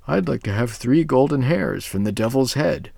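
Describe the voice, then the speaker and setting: A man's voice: medium pitch, expressive, moderate pace, gravelly, a little mellow, no room sound, pitched above the performer's own voice. A man voicing an eager, earnest young man, speaking up in a raised, pleading voice.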